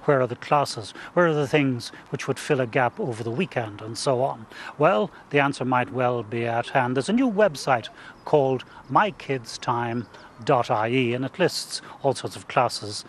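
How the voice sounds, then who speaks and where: A man's voice: medium pitch, normal speed, slight background noise. A man presenting a scripted TV introduction in a conversational tone.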